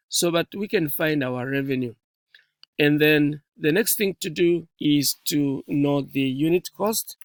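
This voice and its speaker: Higher-pitched male instructor, step-by-step tutorial. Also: no echo. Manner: explanatory, step by step. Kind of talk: tutorial walkthrough of a spreadsheet.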